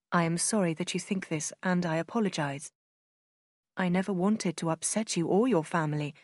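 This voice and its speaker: Woman reading as a cold, formal gentleman, dry. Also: fairly low pitch, no echo, close to an everyday voice. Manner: formal, detached, unkind. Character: cold, formal young gentleman writing a letter. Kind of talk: audiobook letter reading.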